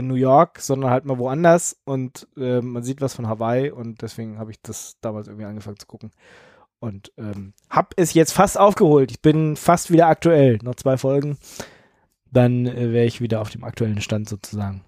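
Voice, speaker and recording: A man's voice: medium pitch, darkish tone, dry recording, studio quality. A man hosting a podcast, chatting casually and rambling with many fillers, stuffy-nosed from a cold. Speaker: podcast host. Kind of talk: casual chat.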